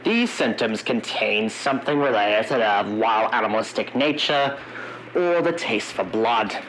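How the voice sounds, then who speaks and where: A man narrating an analog horror emergency briefing in a halting, wordy way, searching for his words. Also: lowish voice, expressive, a bit bright, a little hiss or hum, low-quality recording.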